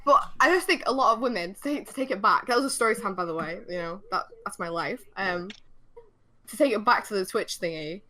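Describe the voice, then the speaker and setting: A woman's voice: medium pitch, fairly quick, bright, forceful tone, low-quality recording. A young British woman chatting casually and telling stories on a podcast voice call, blunt and full of swearing. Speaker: podcast co-host. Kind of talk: casual chat, animated storytelling. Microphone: voice call over Discord.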